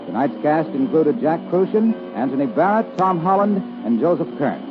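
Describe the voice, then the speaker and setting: A man's voice: on the high side, sing-song, moderate pace. A man announcing a 1950s radio show in a formal, scripted broadcast style. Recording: tinny 1950s radio broadcast, music behind.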